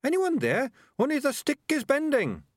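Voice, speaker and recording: A plump little storybook rat, voiced by a male narrator, pitched high and small. He is chirpy, polite and unruffled, with a bouncy delivery and a dry, close recording. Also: huge pitch swings, moderate pace, no room sound, full character voice. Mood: plucky, strained.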